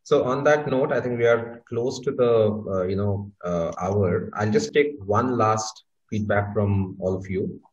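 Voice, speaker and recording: Male panel moderator, low voice, brisk and time-conscious, dull video-call audio.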